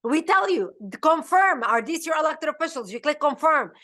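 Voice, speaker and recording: A woman's voice: high voice, expressive, normal speed, sharp tone. A middle-aged woman from Lebanon, hosting a political advocacy show in an urgent, emphatic way. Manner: emphatic, urgent, with frequent restarts. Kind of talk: impassioned political talk.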